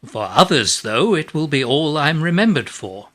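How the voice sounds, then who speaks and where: A man's voice: on the high side, very animated, normal speed, fairly bright tone. A man playing a modest, genial, wry English playwright in a radio play. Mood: reflective, wry. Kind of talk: radio drama acting and reminiscence.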